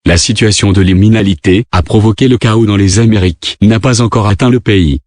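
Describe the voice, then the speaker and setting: Man, emergency alert announcer, low voice, formal scripted reading, dry close sound. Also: fairly quick, darkish tone, no room sound. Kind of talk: scripted emergency broadcast narration.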